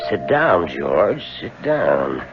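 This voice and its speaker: An actor playing a courteous, considerate older boss who speaks hesitantly in a radio drama. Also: male voice, low voice, big pitch swings, drawn-out words, loud music behind the voice, exaggerated voice. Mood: sympathetic. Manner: hesitant, tactful. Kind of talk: 1970s radio drama acting. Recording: dull old radio, faint noise.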